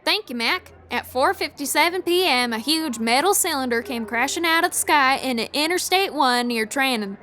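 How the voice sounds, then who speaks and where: A woman's voice: high voice, animated, moderate pace, hardly any pauses, sharp tone. A woman playing a flustered traffic reporter giving a live radio news report on an alien invasion in an audio drama. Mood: composed, professional.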